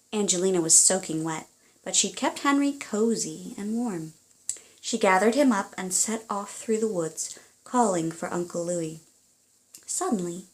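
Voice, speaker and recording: A woman reading aloud for children and parents, in an expressive, deliberate storytelling manner. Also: medium pitch, rough recording. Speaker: storybook reader.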